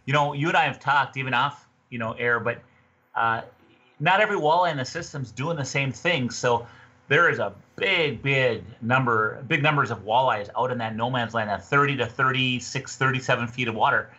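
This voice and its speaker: A man in his fifties talks casually and conversationally as an interview guest. His voice is forceful and rough-edged, coming through slightly muffled lo-fi video call audio. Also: medium pitch, normal speed. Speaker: podcast guest, fishing tourism representative. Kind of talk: casual fishing report interview. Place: small room indoors, on a video call.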